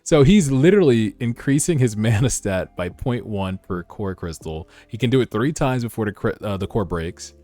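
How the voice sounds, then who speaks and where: A man's voice: on the low side, lively intonation, normal speed, few pauses, warm tone. A man hosting a read-along, mixing narration with informal, slangy, conversational commentary. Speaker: host of a webtoon read-along. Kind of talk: casual reaction commentary mixed with narrated reading. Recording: music under the voice. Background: background music.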